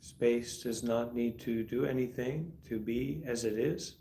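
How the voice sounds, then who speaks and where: A man guiding a meditation calmly and deliberately, speaking in short instructive phrases with long pauses between them. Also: mid-range voice, slight background noise. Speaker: meditation teacher. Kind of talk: guided meditation.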